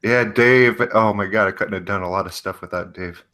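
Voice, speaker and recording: Low, bright-voiced enthusiastic man. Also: fairly quick. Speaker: podcast co-host. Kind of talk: casual chat about gaming.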